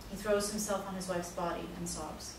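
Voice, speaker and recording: A woman's voice: mid-range voice, steady tone, normal speed. A woman narrator reading scripted stage directions at a play reading, recorded in an echoey room. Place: echoey indoor room, far from mic. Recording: very echoey room, distant mic, noisy.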